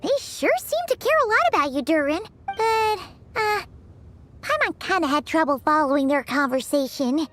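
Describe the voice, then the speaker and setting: A woman voicing a tiny childlike fairy companion in a video game, squeaky and bubbly. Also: lively intonation, normal speed, a bit bright, soft background music. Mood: chatty, puzzled. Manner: speaks of self in third person, bubbly.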